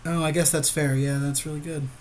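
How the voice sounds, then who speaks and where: A man with a slightly high-pitched voice talks strategy in an easy, conversational way. He sounds like a podcast co-host weighing each option with a partner while they play a card game. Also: flat delivery, brisk, a little hiss or hum.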